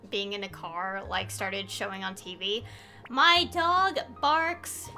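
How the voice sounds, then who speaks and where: High-voiced woman streamer, bright and rambling. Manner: rambling, hesitant. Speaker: game streamer. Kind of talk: casual livestream commentary.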